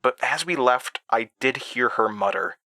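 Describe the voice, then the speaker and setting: An actor playing an anxious, rattled man who haltingly recounts eerie memories, stammering and interrupting himself, in a horror audio drama. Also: medium pitch, animated, vocal fry, bright, forceful tone, full character voice. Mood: uneasy recounting. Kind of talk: first-person horror audio drama monologue.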